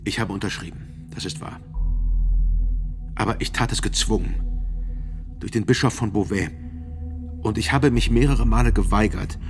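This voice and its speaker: A man's voice: on the low side, lightly acted. An actor playing a reluctant physician in his forties, testifying formally and carefully in a medieval trial radio play. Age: 40s. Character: middle-aged male physician, guarded, reluctant medieval trial witness.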